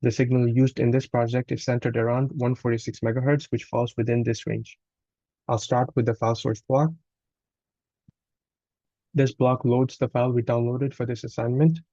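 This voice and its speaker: A man with a soft, mellow voice presents a scripted technical walkthrough like a student giving a report. His delivery is a little halting, with small stumbles and corrections. The recording is very dry and slightly dull. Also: mid-range voice, level tone, dry recording. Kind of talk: scripted technical narration, read aloud.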